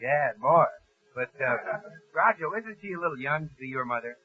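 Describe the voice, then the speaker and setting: A man's voice: on the high side, expressive, fairly quick. Polite male announcer on tinny old radio. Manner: polite straight man. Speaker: radio announcer, straight man. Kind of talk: 1940s radio announcing and comic banter. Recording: tinny, band-limited 1940s radio broadcast.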